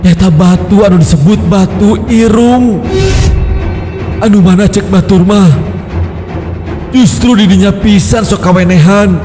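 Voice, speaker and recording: A man's voice: sits high, unhurried, long pauses. A man with a Sundanese accent narrating a first-person scary folk tale dramatically, repeating phrases for suspense. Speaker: storyteller. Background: background music.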